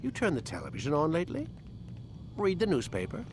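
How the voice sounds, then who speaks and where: A man's voice: fairly high pitch, wildly swooping pitch, fairly quick, music in the background. A man playing a stern, condescending older scientist in a video game, imperious and clipped. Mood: disdainful. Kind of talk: dramatic video game acting.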